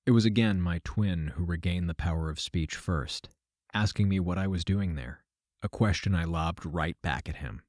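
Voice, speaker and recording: A man playing a weary, reflective narrator telling his story in measured audio drama narration. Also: low-pitched, dry recording, clean studio sound, lightly acted. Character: weary male narrator recounting meeting his double. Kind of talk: scripted first-person audio drama narration. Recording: loud music under the voice. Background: music.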